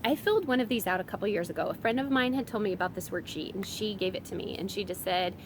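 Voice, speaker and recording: A woman in her thirties talks through a homeschool planning sheet in a loose, friendly vlog ramble. Her voice is a little worn from a summer cold. A faint low rumble sits under the recording. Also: fast. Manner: chatty and rambling, many fillers and restarts. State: has a summer cold, voice a bit strained. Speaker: homeschooling mom vlogger. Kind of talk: casual explanatory vlog.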